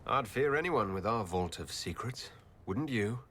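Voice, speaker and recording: Earnest leader, lowish voice. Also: male voice, lively intonation, unhurried, fairly bright tone, a little hiss or hum. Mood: guarded. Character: earnest, steady male leader of a fantasy order. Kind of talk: video game cutscene acting.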